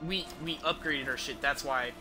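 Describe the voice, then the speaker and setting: A man's voice: on the high side, normal speed. A man giving casual, rambling video game commentary, explaining things as he plays and swearing offhandedly. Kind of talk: casual gameplay commentary with tips.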